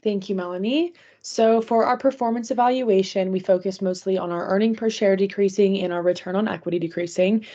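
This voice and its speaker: Woman, company presenter, soft-edged mellow tone, prepared delivery, dull lo-fi recording. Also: medium pitch, steady tone, a little fast, hardly any pauses. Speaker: company presenter on a mock earnings call.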